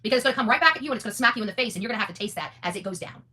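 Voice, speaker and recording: A woman's voice: a little high, animated, racing, sharp tone. A woman hosting an unscripted, opinionated monologue, blunt and defiant, often repeating phrases for emphasis and swearing freely. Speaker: online content creator and host.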